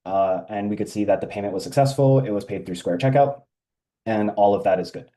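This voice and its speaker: A man giving an informal, step-by-step software walkthrough as a demo presenter. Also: fairly low pitch, fairly quick. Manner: explanatory, step by step.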